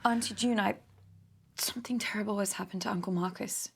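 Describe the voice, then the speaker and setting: A woman's voice: medium pitch, fairly even intonation, brisk, creaky, slightly rough. A young woman playing an earnest, curious niece, speaking hesitantly in an interactive mystery film. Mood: uneasy, probing. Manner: hesitant, questioning, understated. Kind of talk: naturalistic screen acting in an interactive mystery film.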